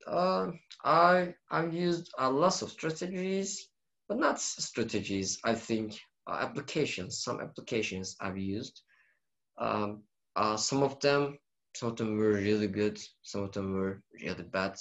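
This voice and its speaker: Hesitant young man with Turkish accent, lowish voice, casual interview. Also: a little slow, vocal fry, a bit bright, lo-fi sound. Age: young adult. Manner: hesitant, many fillers and restarts. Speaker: university student interviewee.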